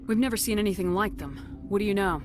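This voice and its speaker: A woman's voice: mid-range voice, fast talker, background noise, close to an everyday voice. A woman playing a direct, assertive military commander in a video game, clipped and businesslike. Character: no-nonsense female military commander, questioning and assertive. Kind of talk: video game dialogue acting.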